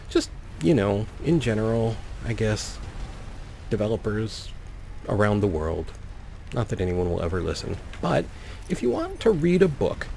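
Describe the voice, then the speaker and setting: A man's voice: fairly low pitch, vocal fry, mellow, slight background noise. A man hosting a let's play, commentating and reading game text aloud with wry, playful asides. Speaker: gaming video host.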